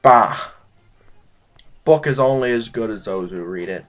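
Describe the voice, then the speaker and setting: An actor in an audio drama playing a rambling, disillusioned duke and mentor, halting and musing with theatrical outbursts. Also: male voice, fairly low pitch, close to an everyday voice. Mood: bitter, scornful. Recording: music behind.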